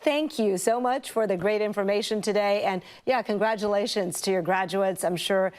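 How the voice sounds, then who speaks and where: A woman's voice: medium pitch, moderate pace, darkish tone. A woman interviewing a guest in a casual, upbeat and chatty way.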